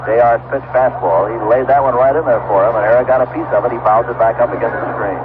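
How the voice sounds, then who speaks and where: A man's voice: mid-range voice, fairly even intonation, fast talker. An American man calling baseball play-by-play live on a vintage radio broadcast. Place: outdoor ballpark broadcast. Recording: vintage radio broadcast, tinny narrow band, loud noise.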